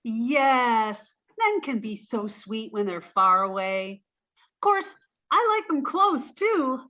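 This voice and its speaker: A bright, high-pitched, dim but sweet young blonde, played by a woman, gushing with naive earnestness. It is old-time radio comedy acting, caught in slightly dull sound. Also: expressive, takes it slowly, exaggerated voice. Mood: giddy.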